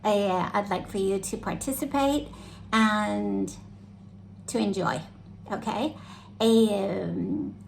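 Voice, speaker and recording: A woman's voice: mid-range voice, vocal fry, a little mellow, a little hiss or hum. An American woman hosting a home cooking demonstration in her kitchen, talking casually and often checking in with "okay?". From United States. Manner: chatty, tags sentences with "okay?". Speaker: club activity host.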